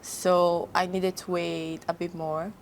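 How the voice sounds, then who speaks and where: A young woman from Brazil with a Brazilian Portuguese accent, speaking English as a second language, chatting as a podcast guest with halting phrasing. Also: lowish voice, little pitch movement, gravelly, slight background noise. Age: young adult. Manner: halting non-native phrasing, frequent laughs. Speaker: guest model. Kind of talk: casual interview chat.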